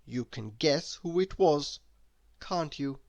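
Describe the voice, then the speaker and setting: A woman narrates a children's storybook in a fairly low voice. She reads expressively and slowly, with lively rises and falls. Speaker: storybook reader.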